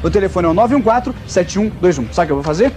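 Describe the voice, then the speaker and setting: A man, presenting an informal, playful TV shopping pitch from inside a shop. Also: racing, music in the background. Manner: animated, playful, joking. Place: video game shop, indoors.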